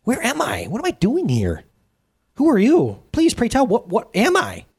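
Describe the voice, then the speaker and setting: A man voicing a newly redeemed former thief, speaking in high, breathless wonder. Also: wildly swooping pitch, moderate pace, darkish tone, husky, full character voice. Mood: amazed.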